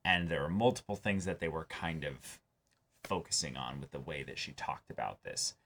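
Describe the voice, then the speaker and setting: Low-pitched young man talking things through. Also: moderate pace, pushed and bright, slight background noise. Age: young adult. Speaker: host of an anime reaction show. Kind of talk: casual discussion. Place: small indoor room, seated before a backdrop.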